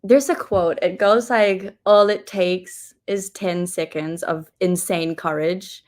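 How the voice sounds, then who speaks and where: A woman's voice: fairly low pitch, fairly even intonation, slow pace, a little mellow. Earnest young woman raised in New Zealand. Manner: reflective, earnest, mixes in Filipino phrases. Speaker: pageant contestant. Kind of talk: interview answers.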